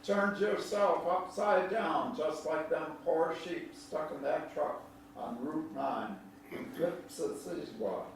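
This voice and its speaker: A man's voice: fairly high pitch, slow. An actor playing a folksy older country man, a kindly, teasing storyteller with a homespun drawl, in a theatrical staged reading. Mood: wise, reflective. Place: large echoey indoor room at a staged reading. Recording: echoey hall, distant mic, faint noise.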